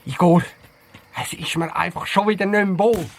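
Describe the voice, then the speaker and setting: Man playing a blustering, creaky, high-pitched Swiss cop. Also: steady tone, normal speed, slight background noise. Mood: uneasy. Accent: Swiss German. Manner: blustering, domineering, self-important. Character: bossy, boastful male police detective, quick to bark. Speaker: voice actor in audio drama. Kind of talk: hobby detective audio drama acting.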